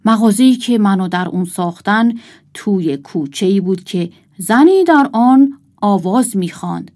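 A woman's voice: mid-range voice, animated, a little mellow, natural voice. A woman voicing a pompous toy king in a children's storybook, formal, commanding and declamatory. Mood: self-important.

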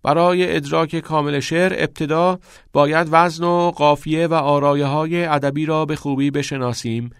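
A man's voice: on the high side, steady tone, clean tone, no room sound, studio quality, voice pushed up, close to an everyday voice. A man voicing an obedient teenage schoolboy in an audiobook, speaking hesitantly as if reciting in class. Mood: dutiful reciting. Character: obedient teenage schoolboy reporting and reading aloud. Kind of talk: audiobook character voice.

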